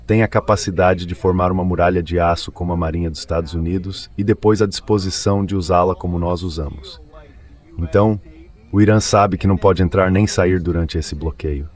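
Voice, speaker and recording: A man's voice: sits low, darkish tone. A man speaking as a government official, making a formal political statement in a firm, declarative way.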